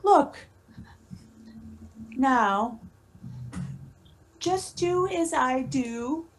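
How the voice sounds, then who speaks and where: A woman's voice: high-pitched, animated, very slow, full character voice. A woman playing a timid young girl who pleads with her mother in an amateur stage play reading. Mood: excited wonder.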